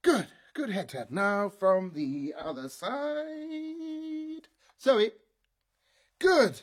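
A man playing a fussy, quick-tempered inventor who fiddles with his robot and barks orders at it. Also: very high voice, exaggerated voice.

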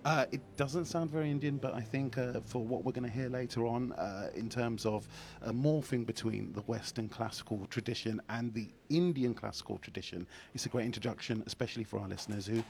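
A man's voice: medium pitch, a little fast, gravelly, background noise. Casual man co-hosting live radio. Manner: casual, relaxed. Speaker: radio presenter. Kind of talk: live radio broadcast chat. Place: concert hall beside the orchestra. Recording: live broadcast with orchestra music behind.

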